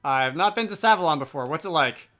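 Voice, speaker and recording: A man's voice: a little high, animated, quick pace, bright, forceful tone, faint music behind. A man voicing a cheery, food-loving male scholar adventurer from a game, breezy and colloquial. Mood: curious, teasing.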